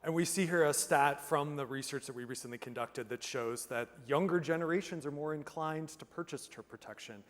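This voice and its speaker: A man's voice: on the high side, a little fast, few pauses. A man moderating an onstage interview with upbeat, conversational questions. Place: conference stage. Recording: reverberant conference hall.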